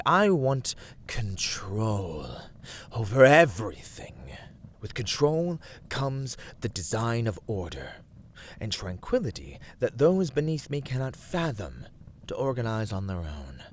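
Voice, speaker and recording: A man playing an arrogant, teasing villain warlord who craves control, in a theatrical ASMR roleplay, with breathy, mocking, drawn-out delivery. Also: fairly low pitch, sing-song, a little hiss or hum. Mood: commanding, imperious. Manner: haughty, languid, mocking, drawn-out words.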